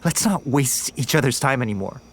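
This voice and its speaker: A man's voice: mid-range voice, lively intonation, fairly quick, natural voice. An actor playing a hurt, bitter young man doing military service who confronts his girlfriend. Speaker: lead character, boyfriend. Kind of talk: audio drama acting.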